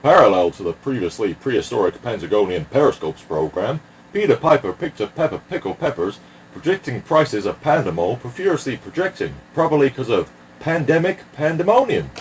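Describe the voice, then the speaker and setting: Male, very lively pitch, quick-fire alliteration, off-mic with faint noise. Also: far from the mic. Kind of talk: rapid alliterative tongue-twister.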